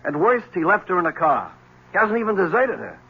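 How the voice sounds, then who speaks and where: A dull old radio mystery recording carries a male police lieutenant. He sounds husky and wry, an unbothered desk man stating things dryly and matter-of-factly. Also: high voice, big pitch swings, fairly quick, exaggerated voice. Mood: weary, matter-of-fact. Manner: dry, matter-of-fact. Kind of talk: 1970s radio mystery drama acting.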